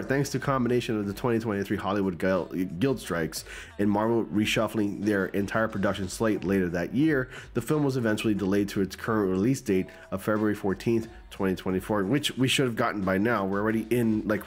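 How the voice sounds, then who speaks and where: A man's voice: on the low side, quick pace. A man in his forties with a stuffy, cold-clogged voice, casually commenting on movie news and reading an article aloud, close to a studio mic in a small room at home. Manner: conversational, reads news text aloud with asides. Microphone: large studio mic close in front.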